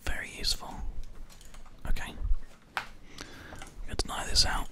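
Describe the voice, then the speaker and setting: A game host giving ASMR gameplay commentary in a breathy whisper, close on a binaural microphone. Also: slow. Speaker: gaming video host. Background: keyboard and mouse clicks.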